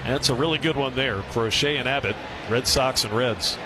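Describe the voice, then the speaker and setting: A man's voice: medium pitch, fairly quick, background noise. A man doing baseball play-by-play on the radio, with clipped, descriptive calls that rise on the action. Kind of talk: radio sports commentary.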